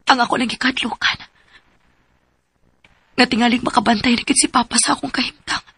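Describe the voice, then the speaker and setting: A husky, anxious young Cebuano woman. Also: on the high side, fairly even intonation, brisk, sharp tone, full character voice. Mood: worried. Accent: Filipino, Cebuano. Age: young adult. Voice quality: husky, creaky. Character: anxious young pregnant woman, distrustful of her lover. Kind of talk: radio drama monologue. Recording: music bed under the voice.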